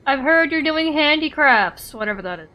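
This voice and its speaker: A woman's voice: high-pitched, lively intonation, moderate pace, sharp tone. A woman streamer giving casual commentary on a video game in an irreverent, slangy manner. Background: music.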